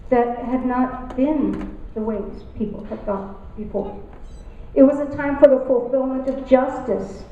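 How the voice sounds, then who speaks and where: A woman's voice: high-pitched, warm tone, a little hiss or hum. A woman from San Antonio, giving a rhythmic, emphatic public introduction of a poet at a university. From West Side of San Antonio, Texas. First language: Tex-Mex. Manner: rhythmic, repetitive oratory with emphatic rises. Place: university lecture hall. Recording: distant, echoey, muffled hall recording.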